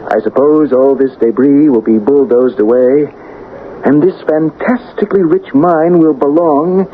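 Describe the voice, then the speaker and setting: An actor playing an earnest male reporter at a disaster scene in a vintage radio drama, announcing dramatically and haltingly. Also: mid-range voice, lightly acted. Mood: solemn awe. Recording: tinny, hissy vintage radio recording.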